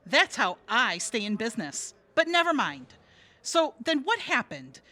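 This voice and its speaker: Brassy, sarcastic streetwalker, high-pitched. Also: female voice, sing-song, measured pace, pushed and bright, heavily acted. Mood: teasing, unfazed. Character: brassy, sarcastic streetwise woman prostitute, jaded jail regular. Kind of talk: audio theatre comedy acting.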